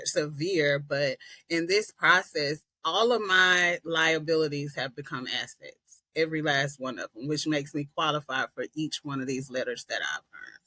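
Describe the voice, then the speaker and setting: A woman from Atlanta, a podcast guest earnestly telling her personal story with frequent pauses and "um"s. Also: low-pitched, pushed and bright, no room sound. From Atlanta, Georgia, US. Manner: earnest, reflective, many "um"s. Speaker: podcast guest, peer specialist.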